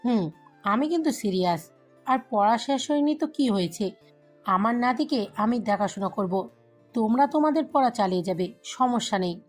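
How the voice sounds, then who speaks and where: A woman's voice: a little high, expressive, moderate pace, soft background music. A woman voicing an insistent, teasing middle-aged mother in an audio love story, firm and coaxing.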